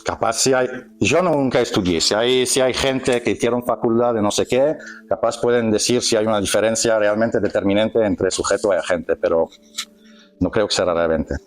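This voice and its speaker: Non-native man giving a halting lecture. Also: on the low side, fairly even intonation, slight background noise. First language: not Spanish. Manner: halting, searching for words, self-correcting. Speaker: workshop speaker. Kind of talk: live lecture to a group. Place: meeting hall with an audience.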